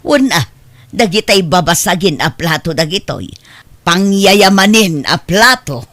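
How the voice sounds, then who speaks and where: A woman's voice: on the low side, expressive, unhurried, a bit bright. A woman with an Ilocano Filipino accent playing a demanding, money-minded mother in a family audio drama. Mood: bossy.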